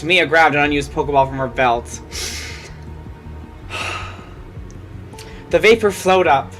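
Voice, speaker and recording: A woman's voice: sits low, fairly bright tone. A woman reading fan fiction aloud as a dramatic story narrator.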